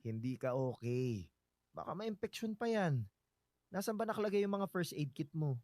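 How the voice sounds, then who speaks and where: A man's voice: fairly high pitch, very animated, normal speed, a little mellow, no echo, clean studio sound. Caring, insistent young Filipino man. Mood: concerned, insistent. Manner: concerned, coaxing. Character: caring, insistent young man secretly in love with friend's widow. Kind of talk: Filipino radio drama acting.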